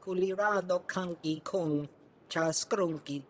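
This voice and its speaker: An actor playing an alien in a video game, chattering in an invented tongue. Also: male voice, high-pitched, takes it slowly. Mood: insistent.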